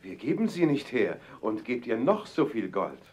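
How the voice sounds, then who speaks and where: A man playing a gruff, stubborn little dwarf. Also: mid-range voice, sing-song, moderate pace, a little mellow, lightly acted. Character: small gruff loyal male dwarf. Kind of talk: fairy-tale audio play.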